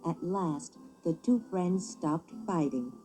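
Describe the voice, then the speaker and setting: A woman reading a children's story as scripted narration, with an expressive, theatrical delivery. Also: fairly low pitch, slow pace, faint music behind.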